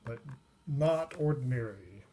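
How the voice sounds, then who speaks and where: Slow, halting man over background noise. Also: mid-range voice, lively intonation. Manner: halting, pausing often mid-sentence. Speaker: tabletop game book reviewer. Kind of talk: casual unscripted book review. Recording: noisy recording with clicks.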